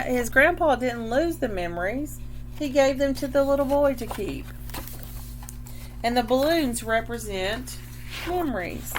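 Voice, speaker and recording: A woman reading a picture book aloud like a teacher, pausing to explain and check understanding, with a noisy hum. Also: high-pitched, a bit bright. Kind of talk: picture book read-aloud with questions to children.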